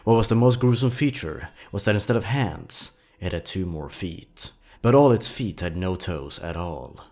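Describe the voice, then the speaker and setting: A man's voice: sits low, lively intonation, moderate pace, mellow. A man narrating scripted horror stories with a dramatic, suspenseful delivery. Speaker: narrator, host of a horror story countdown.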